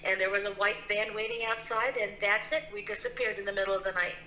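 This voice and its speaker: A woman's voice: medium pitch, fairly even intonation, fast talker, pushed and bright. A middle-aged woman from the Philippines, a guest telling her personal story in an earnest, casual way with many restarts. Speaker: guest, CEO and founder. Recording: roomy with faint noise.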